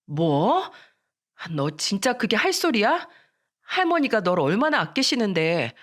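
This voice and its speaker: A loyal teenage schoolgirl in an audio story, acted by a woman, earnest and quick to worry. She sounds pleading and reproachful, with animated pitch in a middle register. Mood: indignant. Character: earnest, worried teenage schoolgirl, loyal but indignant friend.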